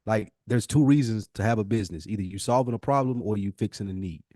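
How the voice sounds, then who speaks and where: A man's voice: on the low side, quick pace, mellow, no room sound, clean studio sound. An American man co-hosting a podcast, talking casually in long, emphatic, opinionated explanations. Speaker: podcast co-host. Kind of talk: casual conversational discussion.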